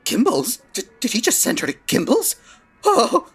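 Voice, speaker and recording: A man's voice: extremely high pitch, wildly swooping pitch, moderate pace, a bit bright, breathy edge, soft background music, full character voice. A man playing a fussy, anxious, hesitant department store manager in a radio play. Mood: panicked.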